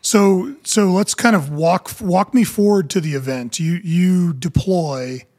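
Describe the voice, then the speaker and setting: A man's voice: sits high, measured pace, a little mellow. A man hosting a podcast, interviewing his guest in a conversational way.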